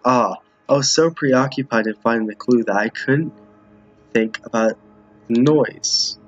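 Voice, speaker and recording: A man with a husky, mid-pitched voice gives casual commentary on a game he is streaming. He reads text aloud, stumbling and doubling back at times. The recording is dull and a bit roomy. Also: a little slow, faint music behind. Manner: stumbles while reading, repeats lines. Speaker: streamer playing a visual novel with viewers. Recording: muffled, roomy home recording.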